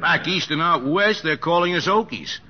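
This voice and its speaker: A man's voice: fairly high pitch, lively intonation, moderate pace, lightly acted. An actor playing an aggrieved, plain-spoken townsman in an old-time radio drama. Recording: echoey, muffled old radio with crowd behind.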